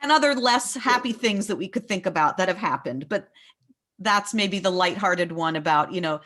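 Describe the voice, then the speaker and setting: A woman's voice: mid-range voice, animated, moderate pace, a bit bright. A woman leading a book discussion in a conversational way, often repeating words as she forms a point. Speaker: discussion leader at a book talk.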